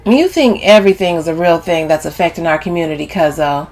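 A woman narrator voicing a brash, sharp-tongued, scornful woman in an audiobook story, with sassy and profane delivery. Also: a little high, animated, moderate pace, pitched above the performer's own voice, lightly acted. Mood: disgusted, exasperated.

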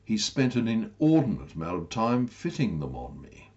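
A man voicing a prim, self-possessed young governess with crisp, formal indignation in a comic Georgian storybook. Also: fairly low pitch, big pitch swings, normal speed, a little mellow, highs cut off. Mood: puzzled.

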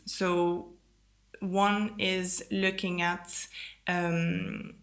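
A woman's voice: fairly low pitch, fairly bright tone. A young French woman who grew up in Italy, a native French speaker, explaining her work as an interview guest. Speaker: podcast guest, ground systems engineer.

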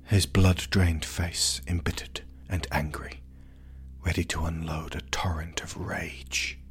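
A man narrating a scripted gothic horror story in a hushed, grave, measured manner. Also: sits low, little pitch movement, husky, in a whisper.

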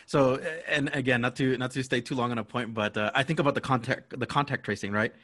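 A man's voice: mid-range voice, fairly even intonation, fast, pushed and bright. Podcast host: man, mid-range voice, casual interviewer, agreeable and attentive. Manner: agreeable, attentive, frequent affirmations.

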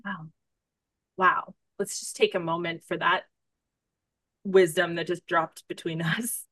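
A woman hosting a podcast in casual conversation, effusive and informal, thinking out loud as she talks. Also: fairly low pitch, steady tone, takes it slowly, no echo. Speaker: podcast host interviewing a guest.

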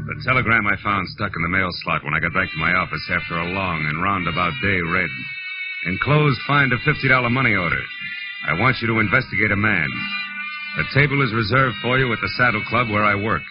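Hard-boiled private eye played by a man, low American voice, clipped and sardonic, old muffled radio sound with music. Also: fairly quick, close to an everyday voice. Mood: wry, watchful. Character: tough, wry male private detective narrating his case. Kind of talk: 1940s radio detective drama, hard-boiled narration.

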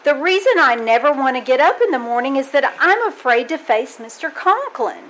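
A woman playing a wry, weary schoolteacher with a deadpan, sardonic delivery in an old-time radio comedy. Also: high-pitched, moderate pace. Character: wry, weary female schoolteacher with dry wit. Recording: faint noise, live stage performance.

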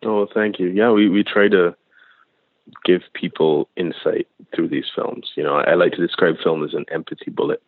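A very deep-voiced man from Barbados talks over a thin, tinny phone line. He speaks as a filmmaker guest, measured and reflective, sometimes picking up speed, with a dry sound and no room behind him. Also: little pitch movement, no echo. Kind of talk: reflective interview answers.

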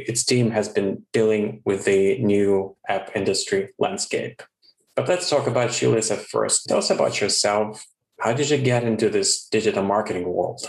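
Low-voiced male podcast host in an echoey room. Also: gravelly. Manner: halting, with filler words. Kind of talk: podcast interview hosting.

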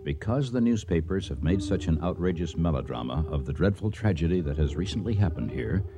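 An actor playing an earnest archaeologist and expedition leader, speaking in a formal, deliberate way in a radio drama. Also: male voice, sits low, animated, music in the background. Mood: sober, formal. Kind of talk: radio drama acting and first-person narration.

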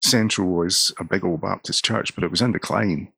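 A man's voice: deep voice, normal speed, gravelly, pushed and bright, breathy edge, no echo. A middle-aged Scottish man, a pastor, talking earnestly in an interview and often repeating words as he starts a sentence. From Scotland. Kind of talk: conversational interview.

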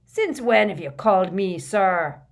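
A woman voicing a huge, gruff, grumpy male groundskeeper in an audiobook, loud and growling, with blustering, sarcastic, rustic speech. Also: fairly low pitch, big pitch swings, very slow, full character voice. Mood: sarcastic grumbling.

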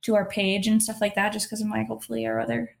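Nasal young woman, slightly high voice, chatty podcast co-host, swears freely. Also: level tone, fast talker, vocal fry. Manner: chatty, swears a lot, laughs often. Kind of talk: casual chat.

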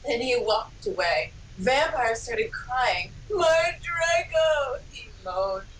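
A woman's voice: fairly high pitch, slow, a bit bright, far from the mic, slight background noise, poor audio. A woman co-hosting a podcast with a mock-dramatic reading, theatrical and prone to breaking into laughter. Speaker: podcast co-host.

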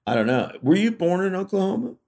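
A man's voice: sits high, very animated, fast talker, gravelly, a little mellow. A middle-aged man from California, co-hosting a podcast in casual, reflective conversation full of fillers and restarts. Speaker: podcast co-host.

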